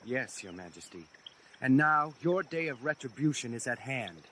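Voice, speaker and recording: An actor playing a loyal, deferential rebel aide in a sci-fi audio drama, earnest and respectful. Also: male voice, medium pitch, very animated, normal speed, fairly bright tone, slight background noise.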